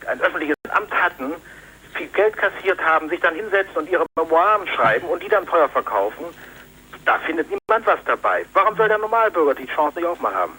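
Guy on a phone line defending his magazine, bright sharp voice, lots of ums, tinny. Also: fairly high pitch, slightly rough. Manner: firm, defensive, hesitant with many ums. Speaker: magazine editor, phone guest. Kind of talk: phone interview.

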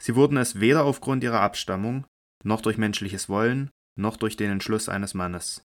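A man narrates scripture with a very deep voice. His reading is measured and solemn. It is scripted narration for a biblical radio drama.

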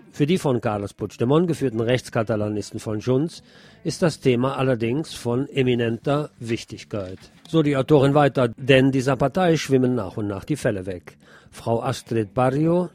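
A man presenting a scripted radio news commentary, reading out press reports in a measured, explanatory way. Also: medium pitch, dark tone.

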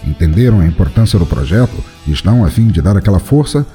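A very deep, dark and mellow male voice reads a horror tale with dramatic flair, his pitch rising and falling widely. He hosts an audio drama podcast. Soft music plays underneath. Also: fast talker. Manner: dramatic, expressive reading. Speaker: podcast host and narrator. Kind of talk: scripted horror narration.

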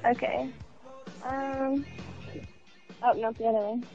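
A woman's voice: fairly high pitch, fairly even intonation, slow. A woman chatting casually on the phone, eager and compliant, as a contest participant. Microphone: phone, partly on speakerphone. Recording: muffled lo-fi phone call with music.

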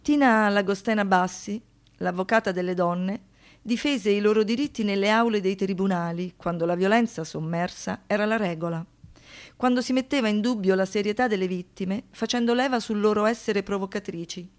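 A woman giving a dramatic, theatrical recitation in a deliberate way, as a monologue performer. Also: mid-range voice. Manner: deliberate, repeats phrases for poetic effect.

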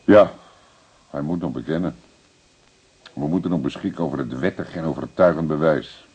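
An actor playing a seasoned, calm police detective in a radio drama, measured, persistent and dryly matter-of-fact. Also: male voice, rumbling low, slightly rough, full character voice.

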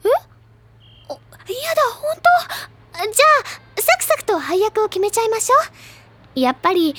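An actress playing a cheerful, bookish teenage club president in an audio drama, with gushing, theatrical, feminine phrasing. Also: female voice, very high voice, big pitch swings, normal speed, fairly bright tone, exaggerated voice. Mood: bright, bossy. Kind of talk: Japanese audio drama, anime-style acting.

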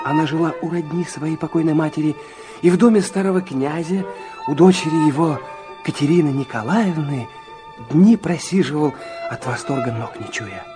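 An actor playing an excitable man recalling his reckless youth, in theatrical, rapturous first-person narration for an audio drama. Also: on the high side, lightly acted.

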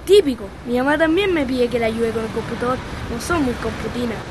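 A high-voiced performer playing an easygoing, joking young skateboarder with a Chilean accent in a radio drama. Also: a little fast, heavy background noise, close to an everyday voice. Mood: casual.

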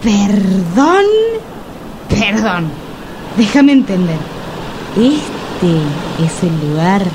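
A woman's voice: mid-range voice, animated, drawn-out words, noisy background. An actress with a Rioplatense Spanish accent playing a nervous, suspicious woman who has just separated. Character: anxious newly separated woman, Cecilia.

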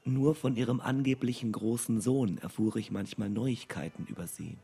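A man narrating a literary radio play as a sober storyteller in a measured, restrained manner. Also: medium pitch, vocal fry, warm tone, husky.

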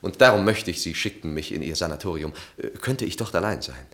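Troubled, lowish-voiced proud husband. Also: male voice, animated, brisk, fairly bright tone, a little hiss or hum. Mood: anguished, subdued. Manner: formal, earnest. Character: troubled, self-absorbed married man, anguished, proud, easily affronted. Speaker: actor. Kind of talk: German radio play acting.

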